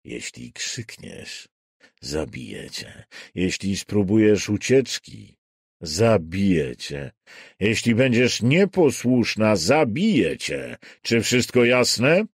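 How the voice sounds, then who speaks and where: A deranged male captor from a thriller audiobook, acted by the male narrator, speaks with hushed, deliberate menace. His low voice crawls along very slowly, coldly controlling and falsely soothing, in a dry close sound. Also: sing-song, creaky, slightly rough, no room sound, heavily acted. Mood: cold threat.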